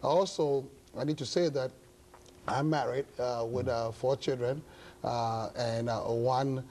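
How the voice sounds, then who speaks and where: A middle-aged man with a Ghanaian accent, speaking as an interview guest in measured, hesitant phrases. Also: mid-range voice, fairly even intonation, darkish tone, a little hiss or hum. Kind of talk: studio interview, measured explanation. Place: indoor television studio set. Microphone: lapel mic.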